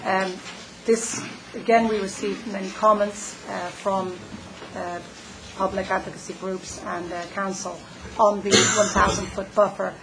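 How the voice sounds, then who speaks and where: A woman presenting planning work at a public meeting, measured and hesitant with many fillers. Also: mid-range voice, noisy background.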